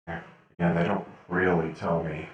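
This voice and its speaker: A man's voice: low-pitched, flat delivery, takes it slowly, highs cut off. A man playing a captured hired killer in a sci-fi game role-play, laconic and casual, with hesitant answers and a reverberant voice. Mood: flat, evasive. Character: captured male hired killer, laconic, menacing attack dog. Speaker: role-player in an online game. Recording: heavily echoing, distant-sounding voice.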